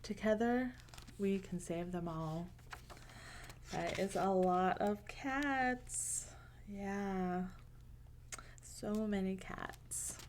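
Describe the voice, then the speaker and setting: A woman reads a children's picture book aloud in a gentle storyteller's manner. Her pace is very slow, with pauses between short phrases, and her pitch sits in a middle range for a woman. She mixes plain narration with casual asides. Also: a little hiss or hum.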